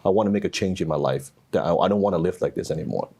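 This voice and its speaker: A man with a low voice hosts an interview. He is soft-spoken and murmurs quietly while his guest talks. He sounds middle-aged. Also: rapid-fire, slightly rough. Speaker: host.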